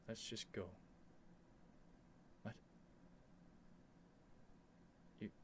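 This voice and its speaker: A man playing an earnest, nervous young prince devoted to a dragon, in a halting, emotional ASMR roleplay addressed to the listener. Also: on the low side, slight background noise. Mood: stunned, grateful. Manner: halting, stammering, breathy sighs and whispers, emotional.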